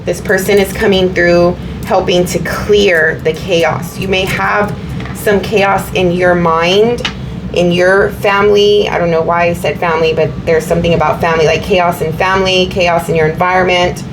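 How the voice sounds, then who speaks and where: Woman, slightly hoarse voice, fairly low pitch, rambling casual tarot reading, noticeable background noise. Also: steady tone, moderate pace. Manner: meandering, repeats herself, many fillers. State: slightly hoarse, recovering from illness. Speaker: tarot reader.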